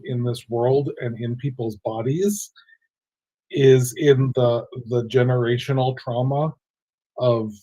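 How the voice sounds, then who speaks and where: A man speaking as a panelist in a measured, gentle way with some fillers. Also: medium pitch, low-quality recording. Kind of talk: panel discussion.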